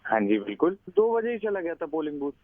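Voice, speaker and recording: A man answers a call in casual talk, his pitch swinging in a lively way. The phone line is slightly muffled and dry. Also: quick pace, no room sound. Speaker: prank-call recipient.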